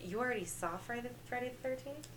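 A young woman co-hosting a podcast in casual, excitable, giggly film chat. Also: a little high, fast talker, slight background noise. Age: young adult. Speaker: podcast co-host. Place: bedroom, indoors.

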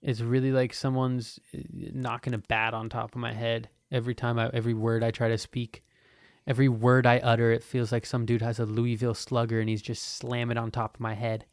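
A man's voice: medium pitch, completely flat delivery, a little fast, no echo, clean studio sound. A man in his twenties hosting a podcast, talking in a loose, profane, meandering way with lots of restarts. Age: 20s. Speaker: podcast host. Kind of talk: casual rambling monologue.

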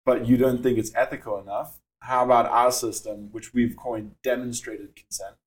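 A young man with a South African accent, a startup founder explaining his work in a podcast interview, measured and full of fillers. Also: medium pitch, steady tone, low-quality recording.

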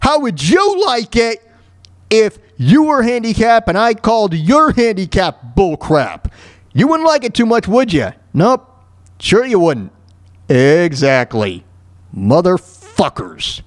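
Man in his thirties, higher voice, lively swooping intonation, halting and repetitive, talk show monologue. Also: no room sound, studio quality. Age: 30s. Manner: halting, repetitive delivery with frequent throat clearing. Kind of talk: casual monologue, ranting and personal storytelling.

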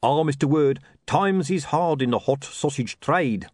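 A man's voice: fairly high pitch, measured pace, no echo, studio quality, voice pushed up. Man doing a gloomy, hopeless sausage seller. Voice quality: hopeless, mournful. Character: gloomy hapless male street sausage seller.

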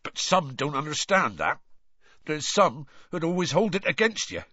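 A man voicing a huge, sentimental half-giant gamekeeper with a hoarse, croaky voice and rough rustic speech. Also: fairly high pitch, sing-song, normal speed, bright, forceful tone, no room sound, muffled recording, voice pushed up, exaggerated voice. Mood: earnest. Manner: rustic, dropped words, blunt and sentimental.

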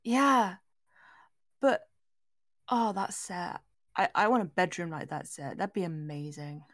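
A woman's voice: on the low side, lively intonation, slow pace, fairly bright tone, dry recording, studio quality. A woman co-hosting a podcast, casually and agreeably discussing films with informal, sweary language. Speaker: podcast co-host reviewing horror films.

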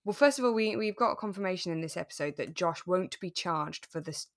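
Lower-pitched woman in casual chat. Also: animated, fairly quick. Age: over 25, adult. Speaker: podcast co-host. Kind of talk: casual chat reviewing a radio drama.

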